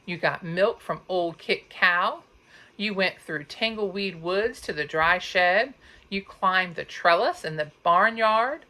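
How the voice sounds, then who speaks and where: A woman voicing a calm, folksy elderly grandmother in a storybook read-aloud, soft and reassuring. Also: lowish voice, slow pace. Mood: warm, encouraging.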